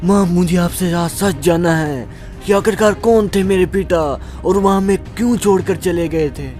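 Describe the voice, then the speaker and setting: A man's voice: sits high, moderate pace, a little mellow, soft background music, natural voice. A man playing an eighteen-year-old son, a naive but determined hero, speaking emphatically in a melodramatic story. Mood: troubled. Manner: dramatic, emphatic.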